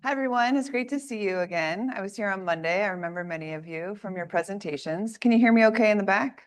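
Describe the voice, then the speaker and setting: Raspy-voiced woman giving an academic talk. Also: medium pitch, quick pace. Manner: clear, organized lecturing with audience asides. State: raspy voice from a coming cold. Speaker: assistant professor giving a workshop talk. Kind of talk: academic presentation. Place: lecture room.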